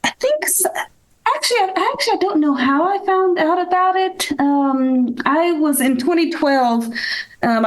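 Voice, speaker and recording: A woman's voice: fairly high pitch, poor audio. An American woman, a podcast guest, telling stories in a casual interview with many ums and restarts. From United States. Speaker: podcast guest, committee chair.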